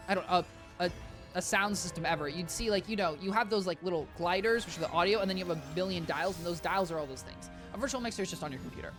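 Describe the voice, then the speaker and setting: A game streamer with a high, squeaky voice chatting casually on a live stream, rambling and correcting themselves often while talking to chat. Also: a bit bright. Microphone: desk mic with compressor.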